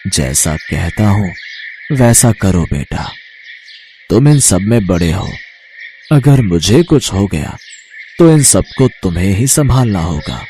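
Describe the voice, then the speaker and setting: A man's voice: low voice, big pitch swings, takes it slowly, warm tone, a little hiss or hum, heavily acted. Man voicing a solemn, fatherly old sage. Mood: solemn, urging. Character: elderly male sage guru, calm, fatherly, solemn and brave. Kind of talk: animated storybook character acting.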